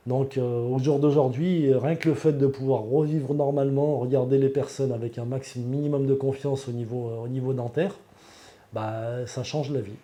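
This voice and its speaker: A man's voice: mid-range voice, mellow. A man, giving an unscripted testimonial as a patient in an earnest, emphatic way. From Lyon, France. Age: 40s.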